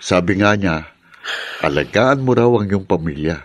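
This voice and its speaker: A friendly young Filipino man in a radio drama. His voice sits fairly low. He talks casually, like a loyal brother to his workmate. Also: expressive, normal speed, slight background noise. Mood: teasing, brotherly.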